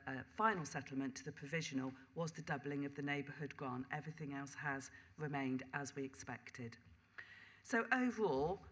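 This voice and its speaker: A woman with a croaky, sore voice presenting budget slides at a council meeting in a steady, polite, explanatory way. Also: low-pitched.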